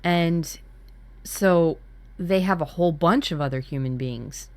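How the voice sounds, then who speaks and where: A woman's voice: lowish voice, a little slow, dry recording. A woman in casual brainstorming chat as a workshop co-host, talking candidly and dramatically, with some swearing. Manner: candid, sweary, dramatic about spooky ideas.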